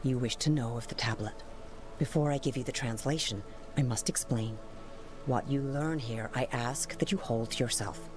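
A woman playing a solemn, guarded female scholar with horns in a video game, speaking in a formal, measured way. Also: rumbling low, over music. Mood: grave. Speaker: linguist.

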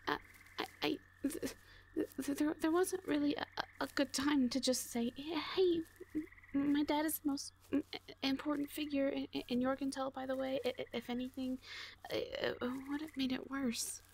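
High-voiced, stammering, timid owl girl. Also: fairly even intonation, vocal fry, darkish tone, slight background noise. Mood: nervous, apologetic. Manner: heavy nervous stammer, halting and repeating syllables. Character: shy, anxious seventeen-year-old owl girl, earnest and gentle. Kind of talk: audio roleplay drama acting.

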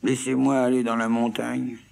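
A man voicing a young female goat, wistful and stubborn, speaking slowly and pleadingly. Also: mid-range voice, flat delivery, gravelly, a little mellow, voice pushed up. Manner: slow, pleading and lilting.

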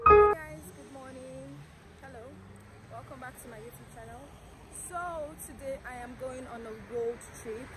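A woman's voice: high-pitched, moderate pace, over music, rough recording. Chatty young woman vlogging on a bus. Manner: chatty, relaxed commentary to viewers. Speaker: travel vlogger. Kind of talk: casual travel vlog. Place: on a bus and by a roadside. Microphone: phone held at arm's length.

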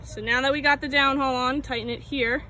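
A young woman with a bright, high voice teaches sailing on a lakeshore, patiently walking through each step and naming every part. She stands in shallow water, caught on a nearby phone camera. Water and boat noise come through clearly behind her. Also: steady tone, brisk, rough recording. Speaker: sailing instructor. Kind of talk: outdoor how-to demonstration. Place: outdoors at a lake shore, standing in shallow water.

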